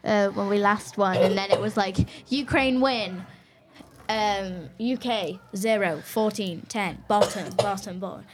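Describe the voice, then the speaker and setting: A woman's voice: medium pitch, measured pace. A girl hosting a home podcast in casual chat with friends, bossy and giggly. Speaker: podcast host. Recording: faint background noise.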